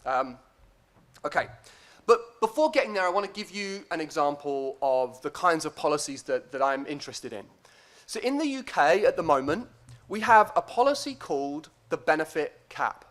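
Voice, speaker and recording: A man giving a conference lecture as a guest academic, speaking in a deliberate, hesitant, self-deprecating way. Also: a little high, big pitch swings, a bit bright.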